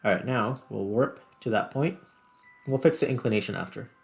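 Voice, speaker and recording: A man's voice: lowish voice, normal speed, gravelly. A man hosting a gaming video, talking casually and thinking aloud step by step as he plays. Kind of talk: casual let's play commentary. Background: background music.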